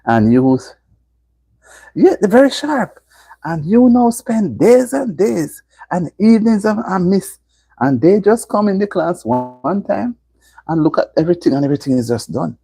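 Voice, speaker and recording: A middle-aged Jamaican woman hosts a casual live stream and talks straight to her viewers. Her voice is husky and mellow, and her pitch swoops up and down as she repeats her points for emphasis. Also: sing-song, moderate pace, no room sound. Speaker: livestream host.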